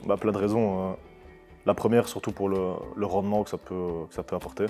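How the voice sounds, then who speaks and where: A man's voice: low-pitched, flat delivery, a little fast, music in the background. A man who works as a real estate advisor, giving a testimonial in an interview and often restarting his phrases.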